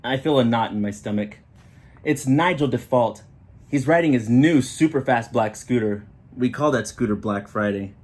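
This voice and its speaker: A man reading a children's picture book aloud in an expressive storytelling style, with short explanatory asides. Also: mid-range voice, moderate pace. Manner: expressive, acting out children's dialogue.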